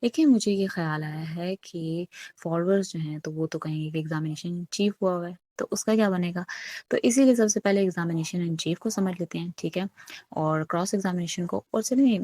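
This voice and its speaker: A woman's voice: lowish voice, fairly quick, warm tone, dry recording. A woman lecturing as a law teacher, explaining step by step, posing questions and answering them, with English legal terms mixed in. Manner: didactic, poses questions then answers them, frequent check-ins.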